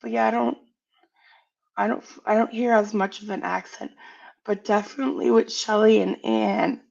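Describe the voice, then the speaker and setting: A Canadian woman with a Canadian accent, a guest on the panel, speaking softly in short replies. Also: mid-range voice, steady tone, moderate pace, creaky. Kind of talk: casual chat. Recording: dull lo-fi audio.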